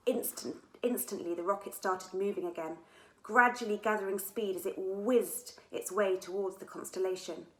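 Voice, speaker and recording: A woman reads a children's adventure story aloud with expressive delivery. Her voice sits at a middle pitch, and the room adds a noticeable echo. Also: normal speed. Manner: expressive, with dramatic character dialogue. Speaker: author reading own book aloud. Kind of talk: scripted children's story narration. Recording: echoey room sound.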